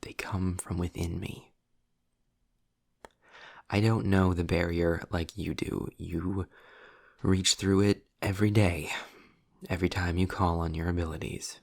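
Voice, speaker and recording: A man playing a sarcastic, worldly demon in an intimate audio roleplay, speaking in a low, gravelly, breathy voice with dry, deliberate pauses, often slipping toward a whisper. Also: little pitch movement, a little mellow, heavily acted. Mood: intent, instructive. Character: sarcastic, worldly male demon, trapped, grudgingly explaining.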